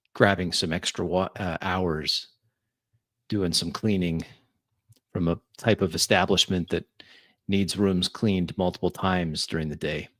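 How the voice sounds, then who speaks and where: A man narrating his character's actions in a tabletop roleplay, in measured, dramatic short phrases. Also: low-pitched, bright, forceful tone, no room sound, studio quality. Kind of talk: immersive first-person roleplay narration.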